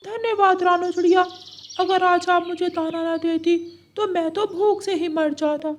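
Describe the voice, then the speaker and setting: A woman's voice: very high voice, steady tone, a little slow. A woman voicing a pitiful, hungry male parrot in a cartoon story, speaking in a pleading way. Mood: grateful. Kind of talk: Hindi animated moral-story acting.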